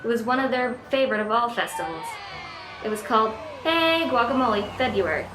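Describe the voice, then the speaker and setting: A woman narrates a radio play like a storybook. Her voice is a little high, echoing in the room, with music behind it. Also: animated, fairly quick.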